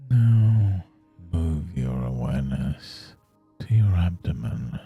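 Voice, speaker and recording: A man guiding a scripted meditation in a soothing, measured manner with long pauses between phrases. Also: rumbling low, expressive, slightly rough, narrow, tinny audio. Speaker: meditation guide. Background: faint music.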